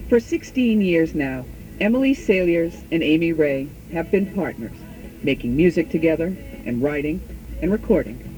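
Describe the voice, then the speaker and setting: A woman radio host reading a measured, enthusiastic introduction and asking interview questions. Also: low voice, expressive, mellow, faint music behind, low-quality recording.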